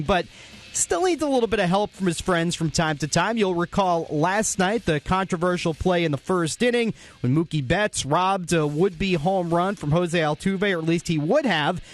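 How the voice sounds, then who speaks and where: High-voiced brisk sports radio host. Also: male voice. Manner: brisk, informative, scripted. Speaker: postgame show host. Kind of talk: sports radio highlight recap.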